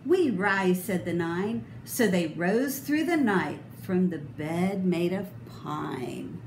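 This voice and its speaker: A middle-aged woman reads a picture book aloud for children in a theatrical, sing-song way. Her delivery is slow and deliberate, with big swings in pitch. The sound is echoey and indoors, with clear noise behind her. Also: lively intonation, darkish tone. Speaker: storybook reader. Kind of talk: expressive children's read-aloud. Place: indoor room. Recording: roomy echo, clear background noise.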